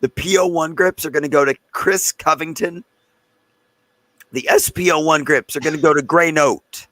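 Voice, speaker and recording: A man with a hoarse, fading voice hosting a casual livestream and talking loosely and chattily to his viewers. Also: a little high, normal speed, sharp tone, no room sound, low-quality recording. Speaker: host of a live gun channel stream.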